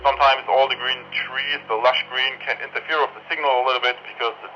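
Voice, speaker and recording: A man's voice: medium pitch, fairly even intonation, fast talker, highs cut off, slight background noise. A man reviewing products, heard through a walkie-talkie, giving range test commentary and repeating his phrases for the test. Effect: heard through walkie-talkie radio. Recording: band-limited walkie-talkie radio sound, music behind.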